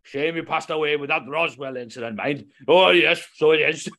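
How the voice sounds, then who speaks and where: A man's voice: fairly high pitch, normal speed, bright, forceful tone. A man voicing a chatty older Welsh man who rambles wistfully in a lilting put-on Welsh accent, for a comedy podcast.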